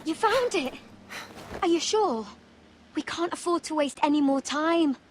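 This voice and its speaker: An actress playing a proud, stubborn young woman who knows her herbs and speaks in a calm, measured way, in a video game cutscene. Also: high-pitched, a little hiss or hum. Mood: worried. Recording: faint game score behind.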